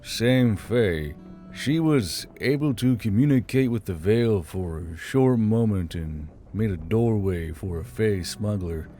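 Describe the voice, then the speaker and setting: A male narrator plays a worn-out fantasy war commander, grim and sardonic. The voice is dark and sinks low at the ends of his short, terse lines, over faint music. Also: expressive, takes it slowly. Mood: weary. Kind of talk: audiobook character voice. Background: soft background music.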